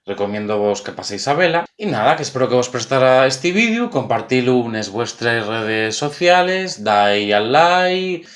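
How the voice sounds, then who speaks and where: A man's voice: medium pitch, sing-song, normal speed, hardly any pauses, a bit bright. Asturian man presenting an informal explainer. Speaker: video host presenting local cultural history.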